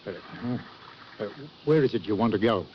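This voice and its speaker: A man's voice: fairly low pitch, brisk, lightly acted. A man playing a blunt old boat captain. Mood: wary. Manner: blunt and brusque, teasing. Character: blunt older fishing-boat captain, gruff uncle. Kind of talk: 1940s radio acting. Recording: dull old radio recording with hiss.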